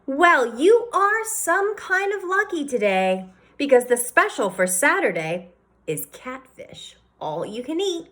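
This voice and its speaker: A woman's voice: sits high, big pitch swings, takes it slowly, a bit bright, voice pushed up, full character voice. A woman voicing a friendly, cheerful woman who runs a small diner, in a storybook read-aloud.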